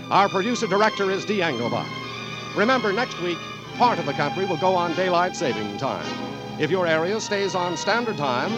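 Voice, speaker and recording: An American man reads as a vintage radio announcer, formal and measured. His slightly high voice rises and falls in lively sweeps, with music behind. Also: rough recording. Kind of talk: 1940s radio announcing, scripted sponsor message.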